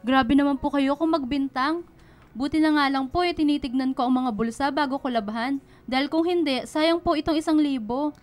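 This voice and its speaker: A woman's voice: high-pitched, fairly quick, faint music behind, close to an everyday voice. A woman playing an honest, hardworking laundrywoman in a radio drama, earnest and polite. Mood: hurt, protesting. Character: honest, hardworking, humble laundrywoman and single mother. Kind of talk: Filipino radio drama acting.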